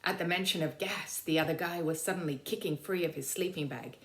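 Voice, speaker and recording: A woman narrating a children's storybook aloud with dramatic, deliberate emphasis. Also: on the low side, reverberant. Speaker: teacher reading aloud.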